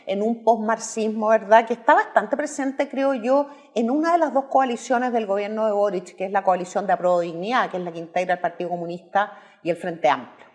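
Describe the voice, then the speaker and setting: A woman in her fifties from Chile with a Chilean accent, explaining her views as a guest in a measured way. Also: mid-range voice, darkish tone. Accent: Chilean Spanish. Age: 50s. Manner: measured, explanatory, tagging points with "¿verdad?". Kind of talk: political discussion.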